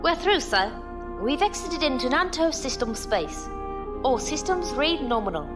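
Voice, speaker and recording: An actress playing a brisk, dutiful starship ensign in a science fiction audio drama, giving clipped military reports. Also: female voice, sits high, very animated, over loud music. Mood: businesslike.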